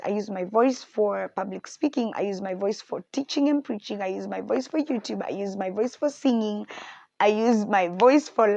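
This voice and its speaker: A woman's voice: medium pitch, gravelly, no room sound, lo-fi sound. Candid woman sharing a faith reflection. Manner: candid, reflective, animated when quoting others. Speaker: podcast host. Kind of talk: personal faith talk.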